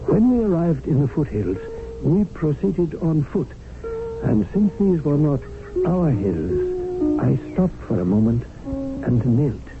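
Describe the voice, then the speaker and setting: An actor playing a dignified Indian hunting guide, formal and privately scornful, speaking in archaic measured phrases in a radio drama. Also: male voice, on the high side, very animated, full character voice. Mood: scornful storytelling. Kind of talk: 1970s radio drama narration and acting. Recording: narrow-band old radio, music behind.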